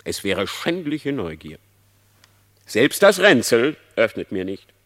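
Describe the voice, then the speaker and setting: A man playing a sly, flattering fox in a radio play, with a theatrical, wheedling, unctuous delivery. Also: medium pitch, slow pace. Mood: sly, instructing. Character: sly, flattering male fox, scheming husband and uncle. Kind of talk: German radio play verse drama.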